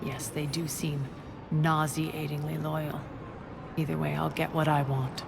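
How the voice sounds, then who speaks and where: A woman's voice: sits low, little pitch movement, measured pace, gravelly. A woman playing a ruthless, imperious alien crime queen in a video game, commanding, haughty and contemptuous. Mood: cold, threatening. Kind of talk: cinematic video game acting. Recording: game music behind the voice.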